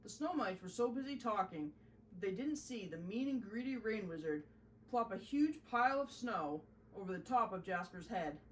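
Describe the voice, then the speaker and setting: A woman narrating a children's storybook in an expressive, deliberate storytelling manner. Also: mid-range voice, recorded from a distance, a little hiss or hum, poor audio. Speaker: host reading a children's story.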